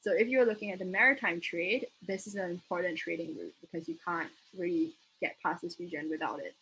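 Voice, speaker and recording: A young Singaporean woman with a slightly low voice explains things like a debate trainer. She talks casually, hedging and working out her thoughts as she goes. There is some noise in the background of the recording. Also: fairly quick, a bit bright, low-quality recording. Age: young adult. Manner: casual, hedging, thinking aloud. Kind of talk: informal explanatory lecture.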